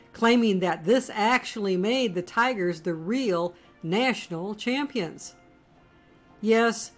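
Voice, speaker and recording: A woman giving scripted documentary narration in a measured, storytelling delivery. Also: mid-range voice.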